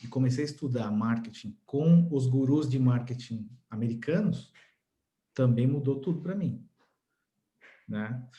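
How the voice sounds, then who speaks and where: A man from Brazil, a marketing professional, co-hosting a casual podcast and giving relaxed, brief replies. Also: mid-range voice, unhurried.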